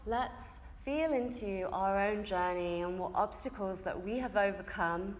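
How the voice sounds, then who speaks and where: Female yoga nidra teacher, medium pitch, slow and gentle guided-meditation delivery in a very reverberant studio. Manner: gentle, unhurried, phrase-by-phrase delivery. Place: indoor yoga space during a group evening session.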